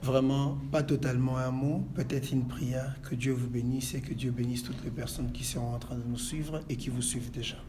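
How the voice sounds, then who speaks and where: A man from Congo who leads a church, answering calmly and praying in a measured, preacherly way. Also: mid-range voice, background noise. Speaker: pastor. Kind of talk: calm interview answers and prayer. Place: inside a church.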